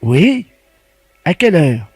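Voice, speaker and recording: A man's voice: a little high, very animated, slow, darkish tone, husky, no room sound, soft background music, exaggerated voice. A man playing a confused, stubbornly literal caller asking odd questions in a prank phone call. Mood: obtuse, insistent.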